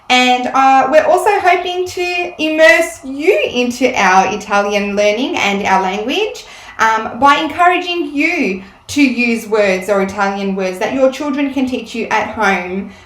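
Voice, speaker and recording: A woman teacher giving a casual cooking demonstration in a home kitchen, chatty and explaining step by step with frequent ums. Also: mid-range voice. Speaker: Italian teacher. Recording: roomy sound, mic at a distance, faint room noise.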